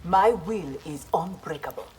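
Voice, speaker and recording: Young armored heroine, low voice, proud, principled, formal delivery, video game fight banter. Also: female voice, animated, a little slow, dark tone, a little hiss or hum, rough recording. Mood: defiant. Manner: earnest, formal, defiant.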